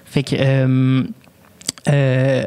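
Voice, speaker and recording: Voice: mid-range voice, monotone, drawn-out words, warm tone, clean tone. Twenty-four-year-old Québécois podcast co-host, Spanish native tongue; easy, slangy, profane banter. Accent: Québécois French. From Quebec, Canada. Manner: slangy, swears casually, drops English words. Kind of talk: casual chat.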